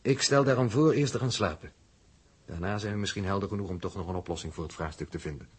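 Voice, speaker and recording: An actor with a Netherlands Dutch accent playing a calm, resolute spaceship commander in 1960s radio drama, husky, deliberate and authoritative. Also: male voice, sits low. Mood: calm, reasoning.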